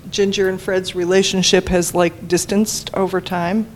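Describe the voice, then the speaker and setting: A woman on a panel answering audience questions in a measured, hesitant way. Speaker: panel presenter, care staff. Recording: steady background noise, low rumble.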